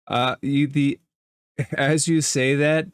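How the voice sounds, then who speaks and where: A man runs a tabletop game, narrating at a slow pace. His voice is clear and smooth, recorded dry with hardly any room sound. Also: medium pitch, steady tone, no room sound. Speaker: game master.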